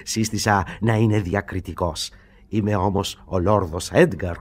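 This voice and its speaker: An actor playing an eccentric, self-important elderly English lord, fussy and excitable, in an old radio play. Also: male voice, deep voice. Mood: excited, conspiratorial. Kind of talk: old Greek radio theatre acting.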